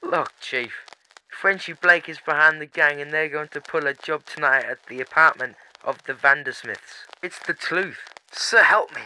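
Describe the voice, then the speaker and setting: A man voicing a nervous criminal informant in a comic-book audiobook, pleading in hushed, slangy talk. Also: a little high, normal speed, slight background noise, poor audio. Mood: anxious, pleading. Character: nervous criminal stool pigeon informing to police. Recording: background music.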